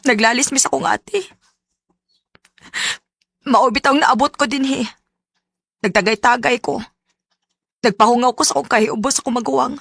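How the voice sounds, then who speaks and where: A woman with a Visayan Filipino accent playing a coy, soft-spoken young woman being courted in a radio drama. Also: a little high, steady tone, moderate pace, creaky, pauses often, no room sound. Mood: sulky, resigned. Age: young adult. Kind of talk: Bisaya radio drama acting.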